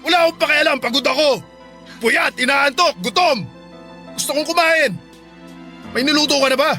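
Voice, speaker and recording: A man's voice: extremely high pitch, moderate pace, pushed and bright, faint music behind. An actor playing a cruel, stingy, bullying husband and father in a radio drama. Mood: irritated, dismissive. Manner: bullying, sneering, dismissive. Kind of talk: Tagalog radio drama acting.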